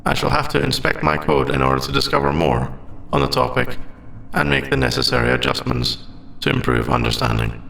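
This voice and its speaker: A man voicing a formal, logical android AI in an ASMR audio roleplay, with precise, stilted phrasing and gravelly endings to his phrases. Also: medium pitch, sing-song, a little fast, fairly bright tone, a little hiss or hum, heavily acted, poor audio. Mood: detached, analytical. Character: formal, logical male android AI, secretly sentient and earnest.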